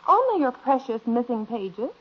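A woman's voice: a little high, very animated, normal speed, highs cut off, a little hiss or hum. An American actress playing a sensible, disapproving middle-aged wife and mother in a 1950s radio comedy, on an old broadcast recording. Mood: reproachful.

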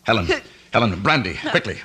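An actor playing a gentle, protective country doctor in a radio drama, speaking in an earnest, coaxing manner. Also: male voice, on the low side, sing-song, a little fast. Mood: concerned, urgent.

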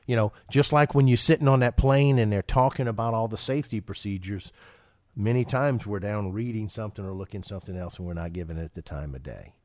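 A man's voice: on the low side, brisk, darkish tone, no room sound, studio quality. A man hosting a financial radio show, talking casually and often restarting his sentences with repeated words.

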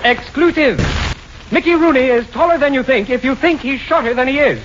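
A man's voice: very high voice, a little fast. A man playing a pompous, emphatic Hollywood gossip reporter in a 1940s radio comedy. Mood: pompous, urgent. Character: self-important, breathless Hollywood gossip reporter. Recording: very noisy muffled 1940s radio recording.

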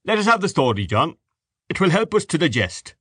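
A man's voice: on the high side, very animated, a little fast, a bit bright, breathy edge, dry recording, studio quality, voice pushed up, heavily acted. A man voicing a coarse, sarcastic, blustery father in an audiobook novel. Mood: good-humoured. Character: coarse, bitter, mocking Irish father at dinner.

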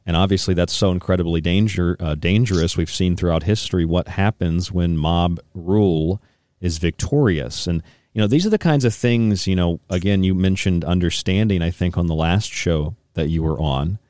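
Deep-voiced man of thirty, closely recorded. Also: moderate pace, a little mellow, no room sound, clean studio sound. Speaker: radio show host. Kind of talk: radio interview with long reflective questions.

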